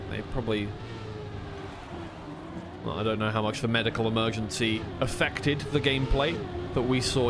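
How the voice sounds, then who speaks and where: A man commentates a racing esports match live in a mid-range voice. He moves between play-by-play and casual, conversational asides, reasoning out loud. Clear engine-like noise runs behind his voice. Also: mid-range voice.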